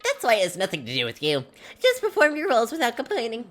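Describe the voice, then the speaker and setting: A woman voicing a gleeful, tyrannical male bear mascot with a very high, squeaky, tight-throated voice and a mocking, overbearing manner. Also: wildly swooping pitch, quick pace, fairly bright tone. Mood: smug, mocking. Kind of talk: voice acting a game's dialogue while playing. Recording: faint game music behind.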